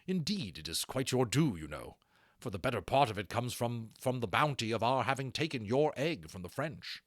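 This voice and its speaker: A man's voice: mid-range voice, big pitch swings, normal speed, no echo, clean studio sound. A man voicing a courteous, affectionate naval officer in an audiobook, with a formal, gracious, old-fashioned manner. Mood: fond. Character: courteous, affectionate naval gentleman turned dragon aviator.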